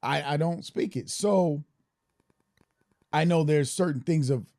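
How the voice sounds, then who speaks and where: A man's voice: on the high side, unhurried, creaky, a little mellow, dry recording, studio quality. A man from Germany co-hosting a podcast, speaking in a reflective, storytelling way. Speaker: podcast co-host. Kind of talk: casual discussion.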